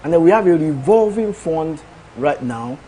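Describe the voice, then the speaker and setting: A man, an agency official explaining procedures in a measured way. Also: a little high, animated, dark tone, a little hiss or hum. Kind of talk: news interview answers.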